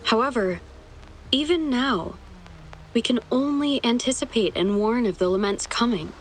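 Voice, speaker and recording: A woman playing a solemn, resolute female leader, narrating gravely and earnestly in a scripted video game story. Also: fairly high pitch, normal speed, close to an everyday voice, rough recording. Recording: steady background noise and ambience.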